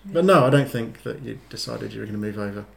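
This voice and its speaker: A man's voice: mid-range voice, expressive, fast talker, gravelly. A man co-hosting a casual podcast chat, telling stories in a rambling, self-deprecating way with many fillers. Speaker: podcast co-host.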